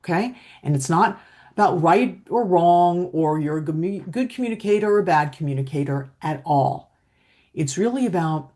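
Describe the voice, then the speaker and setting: A middle-aged woman with a low voice, coaching on communication in a slow, steady, reflective way, often checking in with "right?". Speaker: emotional intelligence coach. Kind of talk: calm teaching talk to camera.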